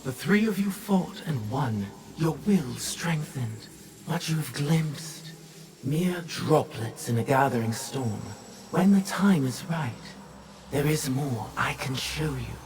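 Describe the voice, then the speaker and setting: An actor playing a calm, cryptic artificial guide in a video game, measured, solemn and resolute. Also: male voice, medium pitch, a little hiss or hum. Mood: encouraging, solemn. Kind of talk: video game cutscene monologue.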